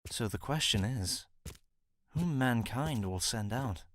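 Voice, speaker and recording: A man's voice: mid-range voice, lively intonation, takes it slowly, fairly bright tone. A man voicing a composed, inquisitive god in an anime fan dub, musing and questioning, on a noisy track. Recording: clearly noisy track with room ambience.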